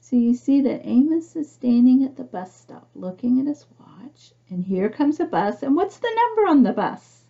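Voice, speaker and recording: A woman reads a picture book aloud to young children, pausing to explain things simply and ask the listener questions. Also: high-pitched, big pitch swings, gravelly, dull audio. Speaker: storybook reader for young children.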